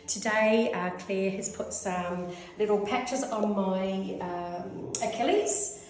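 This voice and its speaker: A woman's voice: mid-range voice, slow pace, darkish tone, a little hiss or hum. A New Zealand woman in her fifties giving a rambling, effusive testimonial as a clinic client. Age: 50s. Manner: rambling, effusive, goes off on tangents. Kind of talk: casual testimonial interview. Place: clinic room. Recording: very echoey room.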